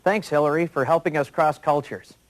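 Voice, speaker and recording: A man's voice: a little high, fairly even intonation, fairly quick. A man presenting a scripted television report as the anchor, handing over to reporters. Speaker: show anchor.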